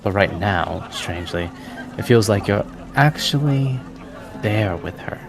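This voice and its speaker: Guy running a tabletop game, deep gravelly voice, measured storytelling with music under it. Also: lively intonation. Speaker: game master. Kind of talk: narrating a tabletop roleplaying game.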